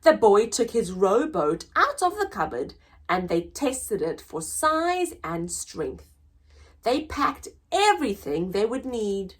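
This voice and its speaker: A woman reading a picture book aloud to young children as a story time host, expressive and deliberate, drawing out words. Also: mid-range voice, breathy edge.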